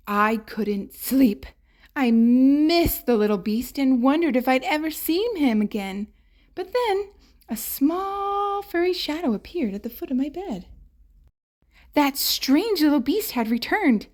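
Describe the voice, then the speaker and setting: An earnest little girl played by a woman tells her storybook tale with wide eyes. Her voice is high and swings up and down as she dotes on every detail, taking her time. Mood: wistful, hopeful.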